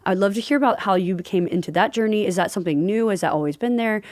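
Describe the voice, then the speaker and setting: A woman's voice: mid-range voice, fast talker, a little mellow, no room sound. Upbeat woman podcast host, affirming. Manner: enthusiastic, affirming, lots of "yeah" and "I feel like". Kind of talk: casual conversation.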